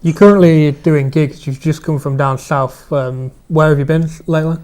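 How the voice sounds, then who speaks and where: Higher-voiced chat-show host. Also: male voice, steady tone, normal speed, darkish tone. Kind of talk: studio chat show hosting.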